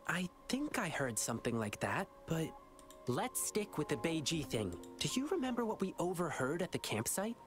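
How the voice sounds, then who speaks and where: A man's voice: on the high side, big pitch swings, normal speed. A man playing a kind, earnest youthful adventurer in a video game, speaking carefully. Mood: thoughtful. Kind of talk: video game dialogue acting. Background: game music.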